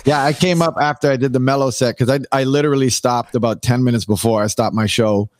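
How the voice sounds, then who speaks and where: A Canadian man with a raspy, worn voice from long nights of streaming. He tells his stories with animated enthusiasm at a mid pitch, recorded close and dry with no room around him. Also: fast talker, dry recording. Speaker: guest DJ. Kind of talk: candid podcast conversation.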